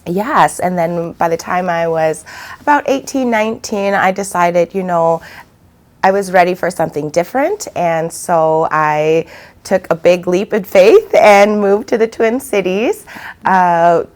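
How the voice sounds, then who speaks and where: This is a soft-spoken young woman with a somewhat low voice. She answers interview questions gently, with frequent pauses and fillers, as a children's book author. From Vietnam, raised in South Dakota. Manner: soft-spoken, many ums and uhs. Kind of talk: interview answers, then reading a picture book aloud.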